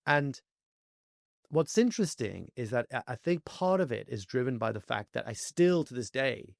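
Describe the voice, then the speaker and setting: A man in his forties from France, telling stories as a podcast guest in a fast, animated way with many fillers. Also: mid-range voice, vocal fry, dry recording, clean studio sound. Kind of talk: casual interview chat.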